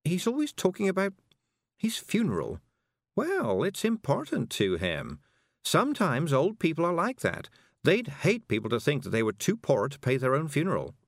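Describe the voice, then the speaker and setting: A man voicing a middle-aged woman witch who speaks slowly, calmly and patiently. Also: a little high, dry recording, studio quality, pitched above the performer's own voice, exaggerated voice. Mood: measured. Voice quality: slow, measured, patient.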